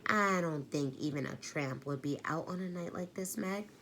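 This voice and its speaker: A woman reading aloud and voicing a calm, capable mother who speaks lightly and briskly. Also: low-pitched, slight background noise.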